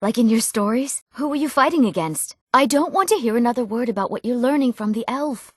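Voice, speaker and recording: A young woman voicing an eager village woman in a fantasy video game, speaking earnestly and clearly in scripted lines. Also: on the high side, lively intonation, fairly quick, dry recording, clean studio sound. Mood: firm. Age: young adult.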